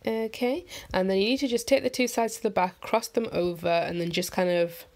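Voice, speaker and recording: A woman vlogger with a croaky morning voice, talking through a head-wrap tutorial in a chatty, rambling, upbeat voiceover. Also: medium pitch, a little fast, a little mellow, slight background noise. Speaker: fashion vlogger. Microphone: voiceover mic.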